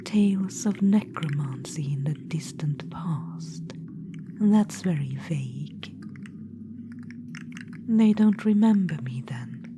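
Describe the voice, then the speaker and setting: A haughty undead witch queen , whispered by a woman, murmurs in a low, unhurried hush, condescending and fond of calling you darling. Her soft whisper sits over a steady background hiss. It is an ASMR roleplay. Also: full character voice. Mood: wistful. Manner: teasing, condescending, calls listener darling. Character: ancient undead witch queen, vain and imperious.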